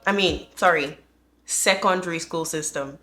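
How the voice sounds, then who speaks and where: A young woman hosts a relaxed conversation, her voice bright and clear. She tells stories with lively, teasing energy. Soft music plays faintly underneath. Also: deep voice, normal speed. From Nigeria. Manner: animated storyteller, teasing. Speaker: host. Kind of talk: casual chat.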